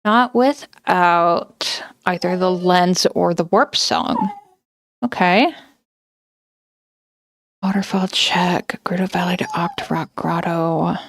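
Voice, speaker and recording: A woman's voice: on the low side, takes it slowly, no echo, studio quality. A woman streaming a video game from home, chatting casually and thinking aloud with lots of fillers. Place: home, indoors.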